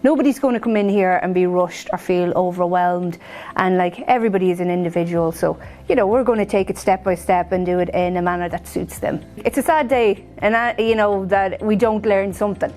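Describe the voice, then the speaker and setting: A woman's voice: lowish voice, fairly quick, a little mellow, faint music behind. A woman, a literacy tutor, speaking patiently in a small classroom. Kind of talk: interview.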